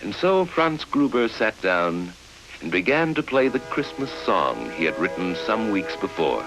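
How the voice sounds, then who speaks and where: A man narrating a vintage educational film from the 1950s in a measured, formal storytelling style, on an old film soundtrack. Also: on the low side, very animated, a bit bright, low-quality recording. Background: music.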